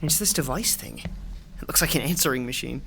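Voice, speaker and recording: A man's voice: medium pitch, expressive, brisk, vocal fry. An actor playing an earnest, obsessive young man in an audio drama, hopeful but defensive. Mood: earnest, uneasy.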